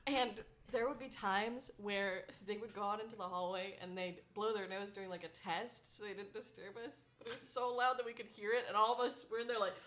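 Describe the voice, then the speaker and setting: A young woman co-hosting a podcast, chatting casually in an animated, teasing way and laughing often. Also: medium pitch, a little fast, hardly any pauses, a little hiss or hum. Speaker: podcast co-host. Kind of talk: casual chat.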